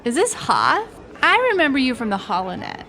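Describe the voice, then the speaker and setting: A woman's voice: sits high, sing-song, moderate pace, pushed and bright, faint music behind, full character voice. A woman playing a ditzy, blunt young alien woman in a space cantina, airy, casual and tactless.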